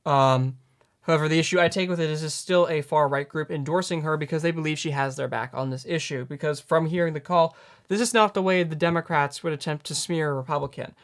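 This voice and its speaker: A man in his late teens hosting a news commentary, earnest and halting as he corrects himself. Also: a little high. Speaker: news host.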